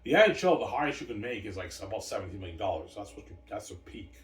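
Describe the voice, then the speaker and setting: A man's voice: fairly low pitch, sing-song, very fast, far from the mic. A man talking to himself in a rambling solo monologue, repeating words and filling gaps with "like" and "man". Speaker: solo vlogger. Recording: echoey room, voice off-mic with faint background noise.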